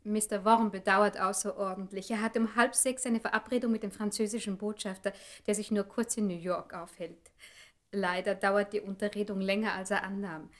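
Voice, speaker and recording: An actress playing a courteous, efficient private secretary in a German radio play, speaking formally and attentively. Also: female voice, medium pitch, fairly even intonation, fairly quick, close to an everyday voice.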